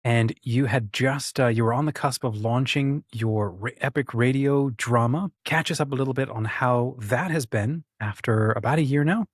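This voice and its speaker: A man's voice: mid-range voice, moderate pace, hardly any pauses, husky, no room sound, clean studio sound. A man hosting a casual interview, informal and encouraging as he prompts his guest. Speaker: interview host.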